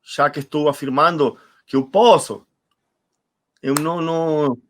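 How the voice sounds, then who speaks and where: A man's voice: a little high, fairly even intonation, unhurried, a bit bright. A man, reflecting as a guest in an online conversation, searching for words and repeating them for emphasis. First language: Spanish. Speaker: interview guest. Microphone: video call.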